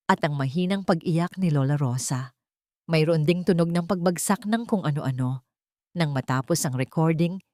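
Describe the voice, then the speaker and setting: A woman's voice: low voice, a little mellow, no echo, studio quality. A woman narrating a dramatic story in a scripted, audio drama style.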